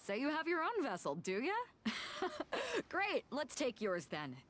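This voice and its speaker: A woman's voice: sits high, wildly swooping pitch, takes it slowly, a bit bright, slight background noise, heavily acted. A woman playing a bold, commanding sea captain in a video game, with a breezy, wry manner.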